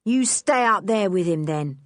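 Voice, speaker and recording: A woman doing a gruff, threatening male kidnapper. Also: medium pitch, expressive, a little slow, no echo, studio quality, lightly acted. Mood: menacing.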